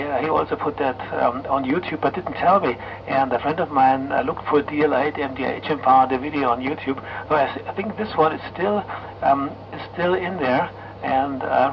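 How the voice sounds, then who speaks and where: A middle-aged man with a German accent, chatting haltingly as an amateur radio operator over a shortwave transmission, searching for words. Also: on the high side, gravelly. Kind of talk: casual ham radio contact chat. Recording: muffled noisy ham radio signal.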